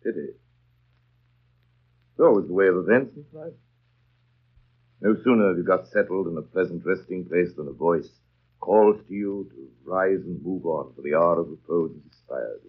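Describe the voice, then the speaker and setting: An actor in a 1930s radio drama playing a brooding, commanding master of a manor, theatrical and musing, teasing yet tender. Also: male voice, low voice, normal speed, long pauses, breathy edge. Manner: theatrical, musing, clipped asides. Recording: dull lo-fi 1930s radio recording.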